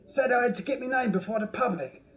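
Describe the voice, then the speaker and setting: A nervous young Victorian medium. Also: male voice, extremely high pitch. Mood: defensive. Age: young adult. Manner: hesitant, halting, stammering. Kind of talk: 1980s radio drama acting. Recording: echoey stage sound from a distance.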